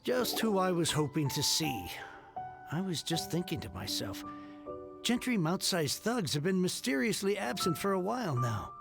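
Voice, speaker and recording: A nervous game merchant with a high, creaky voice. He is flustered and stammering, pitch jumping around excitably, with loud music underneath. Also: moderate pace, exaggerated voice. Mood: wary, probing. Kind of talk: video game character acting.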